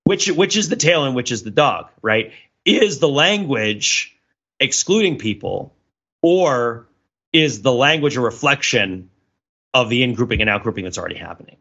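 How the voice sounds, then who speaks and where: A man's voice: mid-range voice, lively intonation, normal speed, pushed and bright, slightly rough, highs cut off. A man co-hosting a casual analytical podcast, talking in a discursive way and thinking aloud as he qualifies his points. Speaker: podcast co-host.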